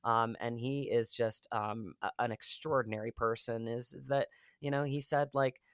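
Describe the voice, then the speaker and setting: A man's voice: mid-range voice, steady tone, normal speed, a bit bright, dry recording, studio quality. A man, an actor being interviewed, talking earnestly and at length with many fillers and restarts. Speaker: actor, podcast guest. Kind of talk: casual interview talk.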